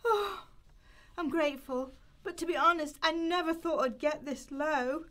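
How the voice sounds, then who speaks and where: Desperate young mum for radio drama, played by a woman: high voice, pleading, flustered, apologetic. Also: unhurried, fairly bright tone. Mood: flustered, humbled. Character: desperate young mother pleading over sanctioned benefits. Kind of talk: amateur radio play rehearsal.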